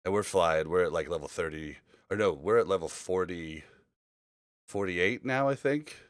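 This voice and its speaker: Low-voiced man chatting casually, close and dry. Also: moderate pace, no echo. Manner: rambling, informal, swearing. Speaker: podcast host. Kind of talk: casual gaming podcast chat.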